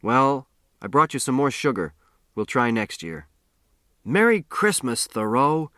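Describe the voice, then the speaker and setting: A jovial grown man, acted out by a male audiobook reader, hearty and fond of teasing. He talks with playful theatrical flourish at a middle pitch, in a dry, close recording. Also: sing-song, unhurried, sharp tone, no echo. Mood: delighted.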